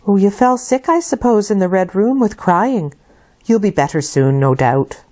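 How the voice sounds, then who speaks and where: A woman voicing a young, soft-spoken nursemaid in a storybook reading, anxious and fussing over her charge. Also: medium pitch, expressive, moderate pace, pitched above the performer's own voice, close to an everyday voice. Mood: reassuring.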